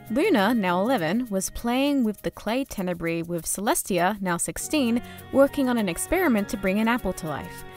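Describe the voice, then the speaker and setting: A woman reading scripted storybook-style narration as a narrator. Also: mid-range voice.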